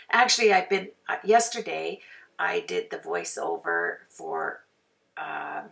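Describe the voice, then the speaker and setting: A woman with a raspy voice from a sore throat, narrating a card-making tutorial as a chatty, rambling voice-over. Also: deep voice, huge pitch swings, takes it slowly, pauses often, fairly bright tone, recorded from a distance. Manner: chatty, rambling, with frequent fillers. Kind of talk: casual voice-over for a craft video.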